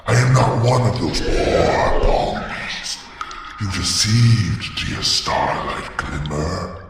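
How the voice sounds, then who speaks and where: A man voicing a sinister, menacing cartoon creature with formal, drawn-out, sneering courtesy. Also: low-pitched, expressive, vocal fry, full character voice. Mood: mocking menace. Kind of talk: cartoon villain acting. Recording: distant, heavily echoing, very noisy.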